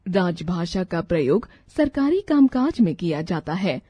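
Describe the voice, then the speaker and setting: A woman narrating a scripted grammar lesson from a textbook, reciting rules and example lists in a measured way. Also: fairly low pitch, warm tone, slightly rough, no room sound.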